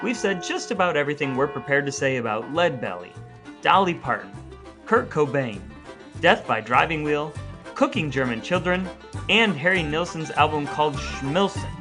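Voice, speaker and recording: Wry man hosting over loud music. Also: a little high, expressive, normal speed. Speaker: podcast co-host. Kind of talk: conversational hosting with wry sign-off.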